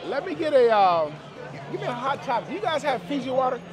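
A man's voice: extremely high pitch, very animated, fast talker. A man giving casual, slangy play-by-play to viewers as he gambles, often repeating numbers and phrases. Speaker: gambling vlogger. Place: busy indoor casino floor. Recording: busy casino floor, music, crowd chatter. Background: music and crowd chatter.

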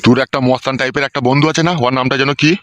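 A man's voice: medium pitch, little pitch movement, rapid-fire, fairly bright tone, dry recording, natural voice. A man playing a stern, crude older village uncle in a comic cartoon, blunt and bossy. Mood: stern, advising. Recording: music under the voice.

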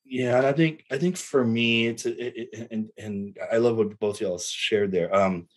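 A man's voice: mid-range voice, fairly even intonation, normal speed. A man in his forties, from South Texas, speaking in an easygoing, rambling way on a video-call panel. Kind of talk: casual panel discussion. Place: home room, indoors.

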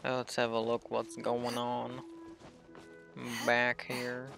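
A man streaming a game with casual, playful commentary. He talks slowly with plenty of vocal fry. Faint music plays behind him. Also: medium pitch, completely flat delivery, fairly bright tone.

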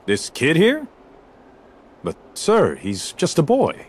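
An actor playing a decent, concerned soldier captain in a video game, respectful and military in manner. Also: male voice, fairly high pitch, huge pitch swings, takes it slowly, slight background noise, full character voice. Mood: respectful urgency.

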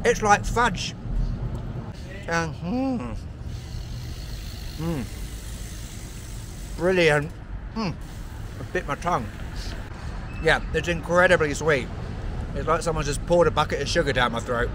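A man in his thirties, a travel vlogger talking to a handheld camera outdoors on town streets, chatty and excitable with playful asides. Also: fairly high pitch, takes it slowly, long pauses, background noise. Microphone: handheld camera at arm's length.

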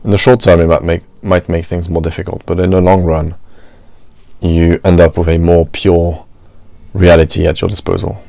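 A man's voice: deep voice, level tone, normal speed, creaky, dark tone, dry recording. A man from France with a French accent, hosting a solo podcast and thinking aloud, often adding "you know" and "right". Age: adult, works at a restaurant. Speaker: podcast host. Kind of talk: reflective solo monologue.

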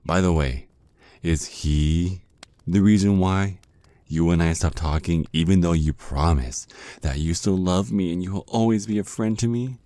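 ASMR roleplay casting: jealous male vampire ex, acted by a man, extremely low dark voice, slow seductive taunts. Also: fairly even intonation, no room sound. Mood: bitter, jealous. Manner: slow, teasing, drawn-out menacing murmur.

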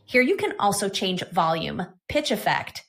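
A woman presenting software in a scripted tutorial narration. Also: mid-range voice, very animated, normal speed.

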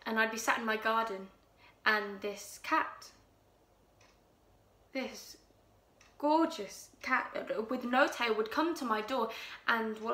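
Young woman doing a creepy quiet confessor, soft and intimate, gravelly edge, echoey room. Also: on the high side, full character voice. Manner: hushed, intimate storytelling, drops to whispers. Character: unsettling woman telling a dark story. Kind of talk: contemporary audition monologue.

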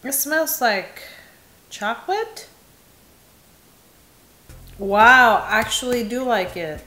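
A young woman from Indonesia reviewing perfumes in casual, candid chat, laughing at herself, filmed in a small bright room. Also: on the high side, animated, slow, roomy sound. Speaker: beauty vlogger.

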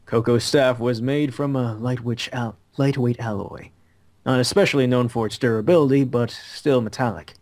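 A man's voice: medium pitch, steady tone, normal speed, a little mellow, a little hiss or hum. A young adult man reading story narration aloud in an amateur style, on a headset in a small room.

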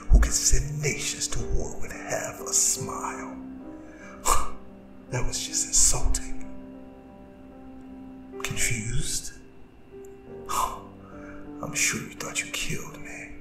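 A man voicing a seductive, gloating Demon King in an ASMR roleplay, breathy and mostly whispered, taunting and intimate with theatrical drawn-out phrasing. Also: medium pitch. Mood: wounded pride, then smug menace. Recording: roomy distant echo, music underneath. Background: music.

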